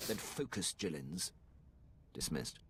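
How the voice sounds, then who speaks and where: An actor playing a stern, formal imperial lieutenant in a cinematic video game, clipped and officious. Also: male voice, sits low, very animated, slow pace, a bit bright, a little hiss or hum, heavily acted. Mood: cold, menacing.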